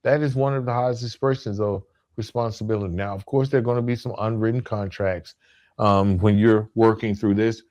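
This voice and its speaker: A man hosting a solo motivational podcast, speaking emphatically and deliberately, repeating key phrases and checking in with the listener. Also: on the low side, steady tone, darkish tone, muffled recording. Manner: conversational check-ins like "right?" and "okay?". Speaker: podcast host.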